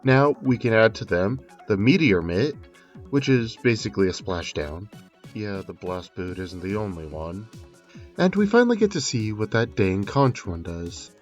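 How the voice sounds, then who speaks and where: A man's voice: on the low side, animated, moderate pace. A man delivering scripted video game analysis commentary with informal, conversational asides. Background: music under the voice.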